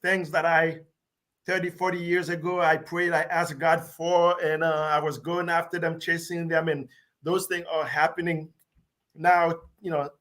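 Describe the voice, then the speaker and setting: A man in his sixties from Haiti, a guest telling his story in a relaxed, laughing way. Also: on the high side. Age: 60s. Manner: warm, laughing, unhurried storytelling. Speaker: guest actor. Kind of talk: casual interview chat.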